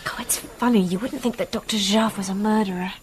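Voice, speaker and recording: A woman's voice: mid-range voice, fairly even intonation, brisk, background noise, close to an everyday voice. An actress playing a self-assured modern young woman in a radio drama, candid and questioning. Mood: curious. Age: young adult.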